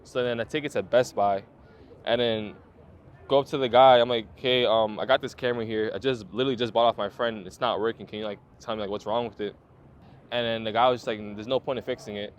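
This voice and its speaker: A man's voice: medium pitch, fairly even intonation, quick pace, clean tone, muffled recording. A man in his twenties, a photographer, telling his story in a laid-back interview with lots of slang, fillers and swearing. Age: 20s. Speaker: photographer guest.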